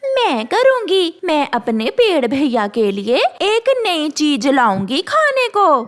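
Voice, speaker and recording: A woman's voice: high voice, wildly swooping pitch, slow pace, heavily acted. A woman voicing a scheming, bossy female crow for a children's cartoon, sweet-talking and conniving. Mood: wheedling. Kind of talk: Hindi children's cartoon voice acting.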